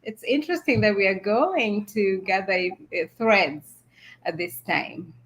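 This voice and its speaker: Kenyan-born lady on a video call, chatty and laughy, telling how her business started, middle pitch. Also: measured pace. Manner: warm storytelling, laughs often. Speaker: small business founder, guest. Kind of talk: casual video call chat.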